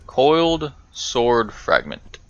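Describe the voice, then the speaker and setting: A man's voice: medium pitch, sing-song, extremely slow, no echo, muffled recording. A man giving casual, rambling commentary over a video game playthrough, chatting to himself and to the game's characters. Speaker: gaming video host.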